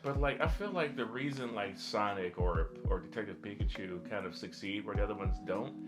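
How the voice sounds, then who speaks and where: A man co-hosting a podcast in casual chat, haltingly rephrasing as he thinks aloud. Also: lowish voice. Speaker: podcast co-host. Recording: guitar music playing under the voice. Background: guitar music.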